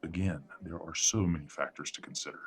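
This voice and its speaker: A man's voice: sits low, steady tone, fast talker, husky. A man playing a middle-aged, imperious werewolf patriarch in an audio drama, speaking formally and condescendingly as he controls his family. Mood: controlling, patronizing. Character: imperious wealthy werewolf patriarch, coldly polite, controlling father. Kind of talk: scripted audio drama acting.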